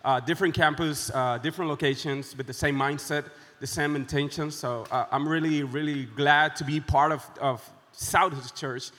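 A man from Puerto Rico, a visiting pastor speaking with many pauses and fillers, good-humored on a church stage. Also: on the high side, fairly even intonation, fairly bright tone, in a large hall. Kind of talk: casual onstage interview. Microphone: handheld mic.

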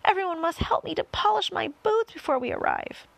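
A woman voicing a vain, excitable centipede in a storybook, fussy and exclamatory. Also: very high-pitched, a little fast, vocal fry, no room sound, voice pushed up, exaggerated voice. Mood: fussy dismay.

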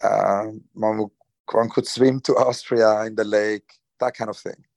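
A man's voice: fairly low pitch, little pitch movement, unhurried, vocal fry, a little mellow, no echo. A man from Switzerland, answering interview questions hesitantly with many fillers and restarts. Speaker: guest. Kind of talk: conversational interview.

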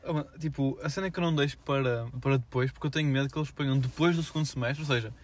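A young man with a European Portuguese accent chats as a podcast co-host. He rattles along extremely fast in a mid-range voice. His speech is informal and slangy, peppered with fillers. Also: mid-range voice. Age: young adult. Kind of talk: casual student podcast chat.

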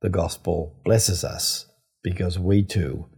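An older man, a priest, giving a reflective scripture teaching in a measured, explanatory way with emphatic phrasing. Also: low voice, creaky, a little mellow, slightly rough.

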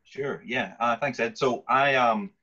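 A man with a Scottish accent, a guest coach answering interview questions thoughtfully with frequent pauses. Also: mid-range voice, pushed and bright. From Scotland. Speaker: podcast guest, goalkeeper coach. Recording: muffled lo-fi audio.

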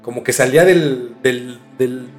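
A man with a Mexican accent in a casual, colloquial podcast conversation, explaining a story in a halting, conversational way. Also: medium pitch. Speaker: podcast host telling a case. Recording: music under the voice. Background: background music.

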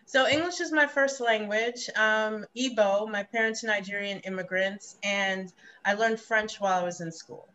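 A woman's voice: medium pitch, moderate pace, a bit bright, rough recording. A woman joining a podcast as a guest over a video call, talking in a light, joking way.

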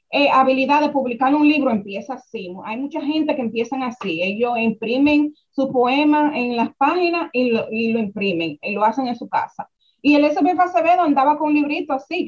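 Dominican woman, lively interview talk. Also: high voice, brisk. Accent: Dominican Spanish. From Dominican Republic. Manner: animated, many restarts and rhetorical questions. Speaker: guest, founder of a writers' platform. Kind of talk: casual interview conversation. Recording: dull lo-fi sound.

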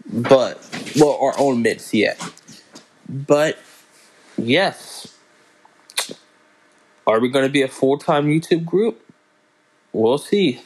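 Young guy doing his own podcast, talks real slow, keeps tripping over his words and starting over. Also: medium pitch, a little mellow, husky, rough recording. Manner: halting, restarts and fillers, slow unhurried delivery. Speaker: podcast host. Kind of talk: casual solo podcast announcements.

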